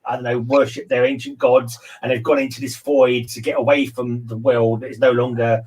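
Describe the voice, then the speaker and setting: A man chats informally about a book as part of a panel. He thinks out loud in an animated, rambling way, often repeating a phrase before he finishes the thought. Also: mid-range voice, brisk. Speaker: discussion panelist. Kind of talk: casual book discussion.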